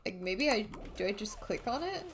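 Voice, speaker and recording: A woman's voice: medium pitch, very animated, normal speed. A woman giving casual gameplay commentary as the player, hesitant and half muttering to herself. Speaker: let's-play player. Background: game music.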